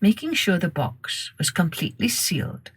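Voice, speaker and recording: Low-pitched woman telling a children's story. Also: very animated, normal speed, slightly rough. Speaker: storytelling podcast host. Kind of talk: scripted children's story narration.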